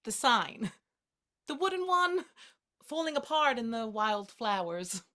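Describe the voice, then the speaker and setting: A woman's voice: fairly high pitch, sing-song, a little slow, sharp tone, heavily acted. A woman acting in a naturalistic drama as a playful, caring best friend with a light, teasing, encouraging manner.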